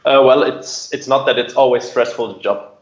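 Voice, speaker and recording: A man's voice: medium pitch, steady tone, moderate pace, echoey room, highs cut off. A man answering interview questions and explaining his work in a conversational way. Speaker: air traffic controller, interview guest.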